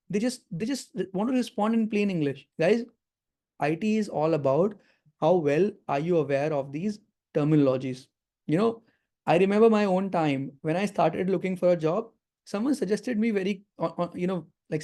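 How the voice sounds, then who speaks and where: A man's voice: sits high, warm tone. A man hosting a live webinar, giving career advice in an earnest way, often repeating words and checking in with his listeners. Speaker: webinar host and career coach. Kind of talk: live webinar talk to an audience.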